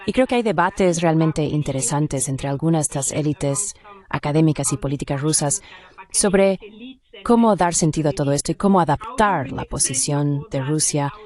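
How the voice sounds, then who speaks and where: Woman w a low voice, academic guest explaining stuff in an interview, analytical and kinda discursive. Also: normal speed, dark tone. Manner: analytical, discursive, with rhetorical check questions.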